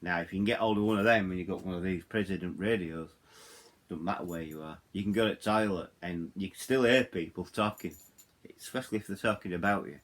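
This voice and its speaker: A middle-aged man with a low voice and a Yorkshire accent talks through a product in an informal, hands-on way. He rambles, drifting off track and circling back to repeat his points. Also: fast talker. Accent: Yorkshire English. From Yorkshire, England. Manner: rambling, digressive, repeats himself. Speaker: hobbyist radio reviewer. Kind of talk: casual hands-on product walkthrough.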